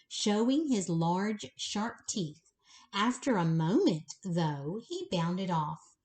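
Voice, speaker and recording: A woman reads a children's story aloud at bedtime. Her delivery is unhurried and expressive, with pitch that swings widely. She speaks directly to her young listeners as a storyteller would. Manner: slow, animated, pausing to address the child listeners directly.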